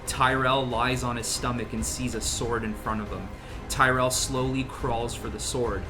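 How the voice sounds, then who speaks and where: Jokey young man over loud music. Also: medium pitch, steady tone. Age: young adult. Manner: jokey, profane, quick to laugh. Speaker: podcast host. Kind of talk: casual chat and script read-aloud among friends.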